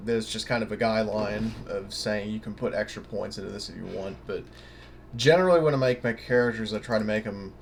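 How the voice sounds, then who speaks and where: A man giving a casual, unscripted tutorial in a hesitant, halting way, thinking aloud as he goes. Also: fairly low pitch, creaky, a little hiss or hum. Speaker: tutorial presenter.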